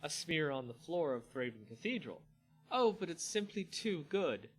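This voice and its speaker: A man acts out a noble vampire hostess from a fantasy story, high and very animated. She is gushing and conspiratorial, with imperious, gleeful relish in every phrase. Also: moderate pace, breathy edge, soft background music. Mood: cackling glee.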